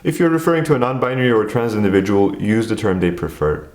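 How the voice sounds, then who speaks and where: A man from Turkey, a native Turkish speaker, calmly narrating a scripted explainer video. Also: fairly low pitch, fast, reverberant. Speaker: video essay host.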